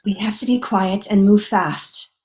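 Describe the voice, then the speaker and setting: A decisive woman leading a crew on the run, acted by a woman in a table read. Her voice is medium in pitch, urgent and commanding while staying calm. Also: unhurried, close to an everyday voice. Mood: urgent resolve. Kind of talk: screenplay table read acting.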